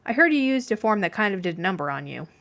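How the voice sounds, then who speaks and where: A woman voicing a young male mafioso, stern but gently reassuring, in a fan fiction reading. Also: medium pitch, sing-song, fast, gravelly. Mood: gentle teasing.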